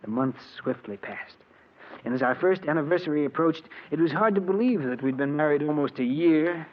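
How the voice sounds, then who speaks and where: A man playing a soft-spoken, self-justifying murderer in 1940s radio drama, speaking in a measured, polite, earnest way. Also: a little high, expressive, lightly acted. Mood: reflective, confessional. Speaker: actor playing narrator and lead character. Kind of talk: 1940s radio drama acting, confessional narration. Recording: dull, noisy old radio recording.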